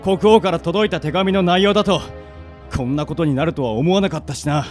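A man playing a reckless, hot-headed young hero with brash, rough speech in a comedic fantasy radio drama. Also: a little high, fairly quick, a little mellow, faint music behind, natural voice. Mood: determined.

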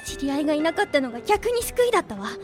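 A woman playing an excitable, self-mocking teenage schoolgirl who narrates her own flustered thoughts in a radio drama. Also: high voice, music in the background. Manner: flustered inner monologue, quick swings. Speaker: narrator and lead character.

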